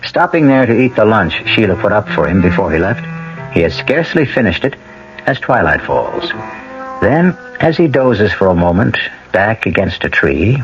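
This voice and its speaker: A solemn storyteller for an old radio mystery. His lowish voice moves expressively over clear music, on a dull vintage recording. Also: very animated, normal speed. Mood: atmospheric. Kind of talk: scripted radio drama narration.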